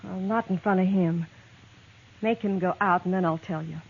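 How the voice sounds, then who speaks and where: A woman's voice: fairly low pitch, moderate pace. An actress playing a young woman in an old radio western, hesitant and earnest, often stammering. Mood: pleading. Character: young woman, torn and pleading, in love with an outlaw. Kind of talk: 1950s radio drama acting. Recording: tinny vintage radio transcription.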